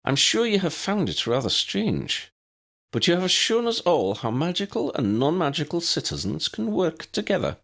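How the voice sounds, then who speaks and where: A man voicing a stern, elderly head teacher woman in an audiobook, brisk, formal and wry in manner. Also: fairly high pitch, sing-song, gravelly, fairly bright tone, pitched above the performer's own voice, exaggerated voice. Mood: formal, approving. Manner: proper, schoolmistressly, pronouncing.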